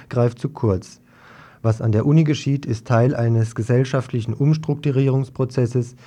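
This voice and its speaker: Mellow-voiced man reading radio news. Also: mid-range voice. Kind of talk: reading a scripted political report.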